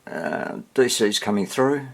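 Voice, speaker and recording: A man giving a casual hands-on repair demonstration, muttering to himself and pausing between short phrases as he thinks aloud. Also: fairly low pitch, lively intonation, vocal fry. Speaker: hobbyist radio repairer presenting his workshop video.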